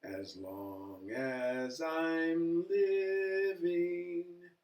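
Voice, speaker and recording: A man voicing a devoted storybook mother, singing a tender lullaby in drawn-out, singsong phrases. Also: on the high side, darkish tone, pitched above the performer's own voice. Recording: echoey room, distant from mic.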